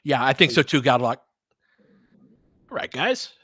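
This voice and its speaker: Animated man talking basketball, dry close sound. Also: medium pitch, measured pace, breathy edge, dry recording. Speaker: sports show host. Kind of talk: casual live game commentary and discussion.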